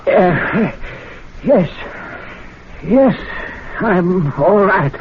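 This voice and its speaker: An actor playing a timid, deferential old club servant in a 1970s radio drama, speaking hesitantly. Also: male voice, high-pitched, animated, drawn-out words, heavily acted. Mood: frightened, shaken. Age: older. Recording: muffled, noisy old radio broadcast recording.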